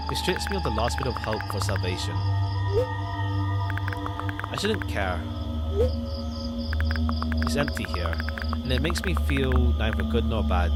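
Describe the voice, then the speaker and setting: Man reading a game aloud, animated pitch. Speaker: game streamer reading a visual novel aloud. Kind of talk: expressive read-aloud of game text with asides. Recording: loud jazzy game music behind. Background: jazzy retro game background music.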